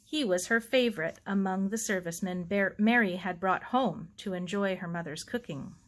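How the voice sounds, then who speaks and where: A woman reading a children's novel aloud in an expressive storytelling style. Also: medium pitch, normal speed. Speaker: audiobook reader. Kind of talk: expressive scripted story narration.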